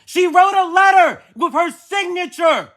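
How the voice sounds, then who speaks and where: Phone-argument caller: high pitch, pressing and repetitive, audibly exasperated. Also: measured pace, fairly bright tone. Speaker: nephew or niece on a phone call with an aunt. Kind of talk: heated family argument on a phone call.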